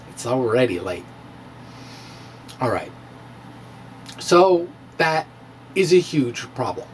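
A middle-aged man presenting a science monologue as a dramatic reading with casual asides, emphatic and theatrical, with halting pauses. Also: high voice, slight background noise. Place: small indoor room with bookshelves. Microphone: handheld-style microphone on stand close in front.

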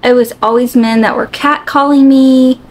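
A woman's voice: sits high, steady tone, normal speed. A woman in her forties, candidly sharing her own story in a reflective, open way, with many fillers, filmed in her bedroom by a camera a few feet away. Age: 40s. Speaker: vlogger. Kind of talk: candid personal vlog. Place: bedroom, seated on a bed.

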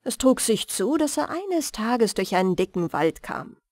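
A woman's voice: a little high, very animated, measured pace, a little mellow, no room sound, studio quality. A woman reading a fairy tale aloud as a soothing bedtime audiobook narration. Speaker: audiobook storyteller.

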